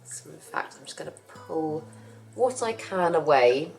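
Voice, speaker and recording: A young woman with a husky voice from a cough, chatting casually about her plants as she works. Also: deep voice, lively intonation, unhurried, soft background music. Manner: chatty, rambling, self-correcting. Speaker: plant care vlogger. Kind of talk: casual chat while working.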